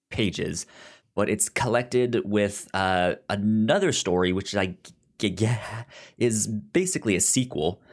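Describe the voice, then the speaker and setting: A man hosting a podcast in casual chat, with a halting delivery full of stammered restarts. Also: fairly low pitch. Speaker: podcast host. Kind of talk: casual chat about comics and film.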